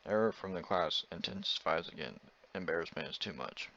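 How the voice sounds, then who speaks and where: A man's voice: sits low, fairly quick, bright, forceful tone, muffled recording, slight background noise. A man playing a visual novel, reading its text aloud with casual asides and stumbling over words now and then. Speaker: let's player reading and reacting to a visual novel demo.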